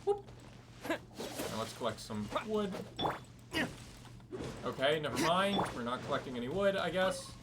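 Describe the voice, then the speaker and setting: A man's voice: on the high side, big pitch swings, unhurried, long pauses, very noisy. A man doing casual gameplay commentary as a streamer, with wry muttered asides and swearing. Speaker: game streamer. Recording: loud game music and effects behind.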